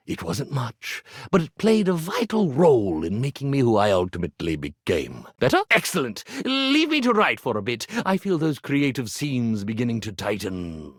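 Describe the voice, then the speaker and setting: Flamboyant, wildly swooping stage illusionist. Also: male voice, medium pitch, huge pitch swings, normal speed, hardly any pauses, no echo, studio quality. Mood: flustered, earnest, then eager, chatty. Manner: flamboyant, melodramatic, florid wording with flustered hesitations. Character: theatrical ironing board posing as grandiose stage illusionist. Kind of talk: video game character voice acting.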